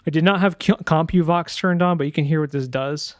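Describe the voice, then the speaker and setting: A man presenting a sound design software tutorial in a casual, informal way, thinking aloud as he demonstrates. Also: a little high, fairly quick, heavy background noise.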